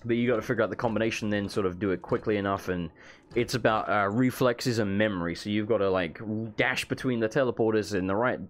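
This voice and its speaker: A man with a hoarse voice, losing it from being sick, rambling casually as he shows off his game mod. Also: fairly low pitch, fast talker. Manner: rambling, self-correcting, casual swearing. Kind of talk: casual gameplay walkthrough. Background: faint game music.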